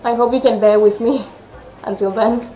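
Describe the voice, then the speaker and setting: A woman's voice: a little high, steady tone, normal speed, mellow, roomy sound, soft background music, low-quality recording. A woman with a Greek accent and non-native English, reading a visual novel aloud and commenting as she plays. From Greece. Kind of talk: game playthrough with read-aloud narration and chatty commentary.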